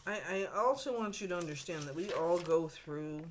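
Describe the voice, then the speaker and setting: Hoarse woman, slightly low voice, tarot reader, talks straight to the viewer, no sugarcoating, soft hiss behind. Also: animated, normal speed. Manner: frequent throat clearing; blunt, direct, lecturing the viewer. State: hoarse, losing her voice. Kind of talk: casual tarot reading to camera.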